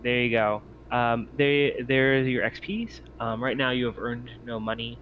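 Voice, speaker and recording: A man's voice: medium pitch, a little slow. Man as game master, muffled and noisy. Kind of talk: casual talk running a tabletop RPG session. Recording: muffled audio with clear background noise.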